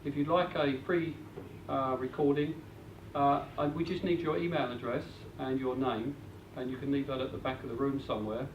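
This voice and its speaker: A man's voice: mid-range voice, steady tone, moderate pace, few pauses, highs cut off. A man presenting at a live seminar, practical and matter-of-fact, with frequent fillers. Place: meeting room with an audience. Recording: echoey room, distant mic, faint hiss.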